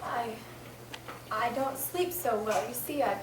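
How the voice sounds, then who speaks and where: Woman acting high-voiced nervous wife. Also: close to an everyday voice. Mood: anxious. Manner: anxious, halting. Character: frail, nervous, frightened wife. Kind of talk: old-time radio mystery acting. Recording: echoey, distant stage, noisy background.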